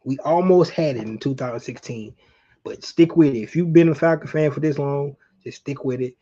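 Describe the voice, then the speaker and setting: A man's voice: mid-range voice, normal speed, mellow, lo-fi sound. A middle-aged man from Atlanta, chatting casually and colloquially to viewers as a sports livestream host. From Atlanta, Georgia, United States. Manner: conversational, addresses viewers directly, colloquial. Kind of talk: casual fan chat, reminiscing about sports.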